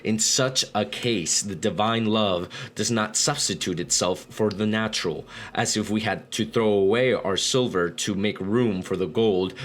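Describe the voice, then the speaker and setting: A man reading a book aloud as a read-along host, with informal, slangy commentary. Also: lowish voice. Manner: profane, informal asides with bro slang.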